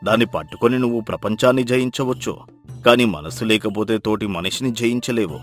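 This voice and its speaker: An actor playing a kindly old blind village mentor who speaks in a measured, fatherly, moralizing way in a dramatized story. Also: mid-range voice, soft background music, close to an everyday voice. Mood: gentle, reflective. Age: older.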